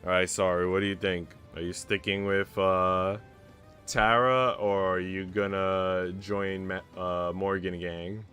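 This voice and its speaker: A man's voice: low voice, little pitch movement, a little slow, fairly bright tone, faint music behind. A man streaming a visual novel, reading it aloud and breaking off for informal, slangy comments. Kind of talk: visual novel read-aloud with casual asides.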